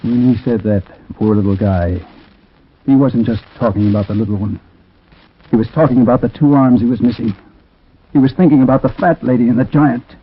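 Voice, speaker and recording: A man's voice: mid-range voice, fairly even intonation, fairly quick, breathy edge, close to an everyday voice. An actor playing a troubled man who narrates an eerie story in 1940s radio drama style, reflective and conversational with hesitant asides. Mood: haunted, subdued. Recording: tinny, muffled 1940s radio recording.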